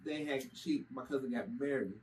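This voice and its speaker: Casting: mid-pitched Kentucky musician, lively storyteller, heard a little off-mic with faint hiss. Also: male voice, brisk, distant mic, low-quality recording. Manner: animated storyteller, lively quoted voices. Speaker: keyboard player and musician. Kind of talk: casual interview chat.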